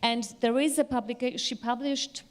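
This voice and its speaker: A woman speaking as a literary commentator in an informal scholarly discussion, pausing often to recall details. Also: fairly high pitch, steady tone.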